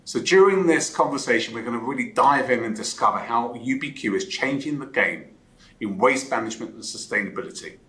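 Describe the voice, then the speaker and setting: A man's voice: mid-range voice, animated, brisk, pushed and bright. A man hosting an interview show, introducing his guest and asking questions, with hesitant restarts. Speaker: podcast host.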